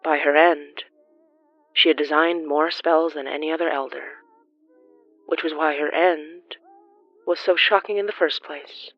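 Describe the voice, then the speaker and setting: Medium-pitched narrator, formal horror storytelling, measured delivery, dry close sound, faint music underneath. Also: fairly even intonation, dry recording, lo-fi sound. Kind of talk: scripted horror fiction narration.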